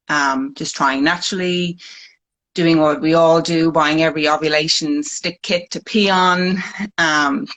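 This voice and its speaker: A woman's voice: deep voice, fairly bright tone, low-quality recording. An Irish woman in her forties sharing her personal story as a live-stream guest, speaking hesitantly with many ums on a phone mic. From Ireland. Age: 40s.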